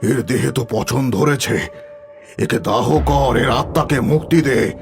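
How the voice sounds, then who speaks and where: Solemn tantric, male actor for horror cartoon; unhurried, commanding warnings over music. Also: medium pitch, gravelly. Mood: grave. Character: grave tantric holy man, solemnly warning. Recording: background music throughout.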